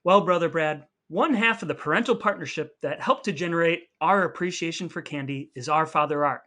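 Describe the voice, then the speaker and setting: A man's voice: fairly high pitch, a little fast, pushed and bright. A man hosting a comedic podcast in a casual, playfully mock-stern and wordy way. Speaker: podcast host. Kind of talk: casual comedic banter, quizzing and signing off.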